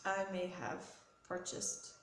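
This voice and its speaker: A woman's voice: fairly low pitch, lively intonation, slow, a bit bright. A woman hosting a knitting podcast with a head cold, talking in a friendly, rambling show-and-tell way with many ums and self-corrections. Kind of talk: casual chatty show-and-tell. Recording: roomy echo with faint noise.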